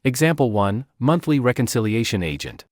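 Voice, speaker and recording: A man narrates a software walkthrough from a script. His mid-pitched voice moves with lively intonation through short, clipped, list-like phrases. The sound is dry, free of room reflections. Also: normal speed, dry recording. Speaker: software demo presenter.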